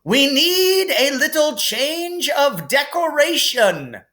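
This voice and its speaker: A man voicing an elderly, wise and kindly wizard headmaster with a stately, deliberate delivery, as in a storybook reading. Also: extremely high pitch, sing-song, bright, forceful tone, pitched above the performer's own voice, heavily acted. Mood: wise, grand.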